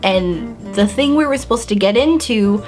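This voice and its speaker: A young woman chats to her camera in a bubbly storytime manner, acting out her own reactions as she goes. Her voice sits at an ordinary middle pitch. Faint music plays softly under her voice. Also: normal speed. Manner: bubbly, animated storytelling with lots of "like" and acted-out reactions. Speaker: beauty and lifestyle vlogger. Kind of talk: casual storytime vlog.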